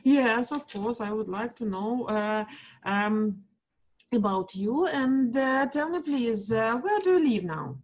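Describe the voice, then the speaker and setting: A Russian woman with a Russian accent, a primary school teacher, speaking halting English as a student with many pauses and fillers. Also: fairly high pitch, expressive, a little mellow. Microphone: video call.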